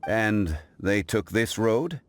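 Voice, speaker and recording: A man voicing a dignified, grave male consultant in a video game, speaking in a measured, formal and calm manner. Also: fairly low pitch, slight background noise. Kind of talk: game voice acting. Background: game music.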